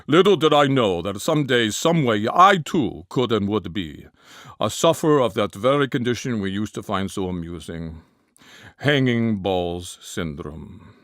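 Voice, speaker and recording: A man's voice: lowish voice, animated, unhurried, fairly bright tone, dry recording, clean studio sound, voice pushed down. A man voicing a solemn, sentimental sufferer from Georgia, the country, giving a testimonial.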